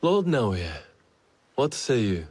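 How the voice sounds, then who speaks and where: An actor playing a resolute, measured samurai lord in a video game, speaking formally and gravely. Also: male voice, mid-range voice, big pitch swings, darkish tone, no echo. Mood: grave, accusing. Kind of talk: dramatic video game acting.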